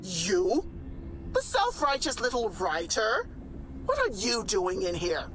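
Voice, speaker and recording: An actress playing a cranky, suspicious, scornful woman in an animated video game, speaking indignantly. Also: mid-range voice, slow, poor audio.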